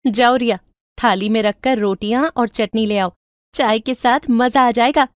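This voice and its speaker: A woman voicing a rich, warm-hearted, bossy young woman in storybook cartoon dialogue, animated and affectionate. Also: on the high side, unhurried, no echo, studio quality, natural voice. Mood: playfully insistent.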